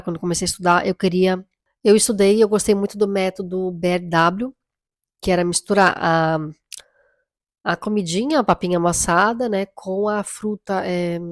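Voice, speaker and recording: Hoarse mom with a cold, low-pitched, chatty and reflective, dry close sound. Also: female voice, dry recording. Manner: chatty, reflective, often tags lines with "right?" and "you know?". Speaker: mother vlogger. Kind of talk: casual chat to camera.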